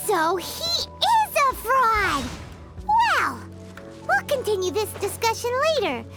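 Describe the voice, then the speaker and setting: A woman voicing a tiny, cheeky, excitable fairy girl companion in a video game, with a squeaky, childlike, exclamatory delivery. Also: slow pace, over loud music, exaggerated voice. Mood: excited, indignant. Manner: speaks of self in third person, exclamatory. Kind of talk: English video game voice acting.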